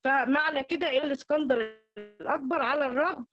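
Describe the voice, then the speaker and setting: A woman with an Egyptian Arabic accent giving an academic slide lecture over an online call, halting and repeating words as she goes. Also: high voice, soft background music. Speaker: academic presenter. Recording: dull lo-fi online call audio.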